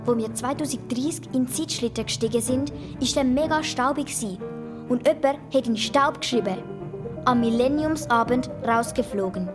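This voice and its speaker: Cheeky young girl twin, woman acting, Swiss German, kids' radio play. High voice over a music bed. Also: normal speed, close to an everyday voice. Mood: matter-of-fact.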